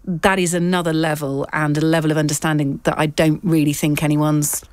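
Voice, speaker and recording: A woman's voice: deep voice, little pitch movement, brisk, darkish tone, dry recording. A woman hosting a radio interview, asking questions conversationally and often repeating words as she frames them. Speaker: radio host.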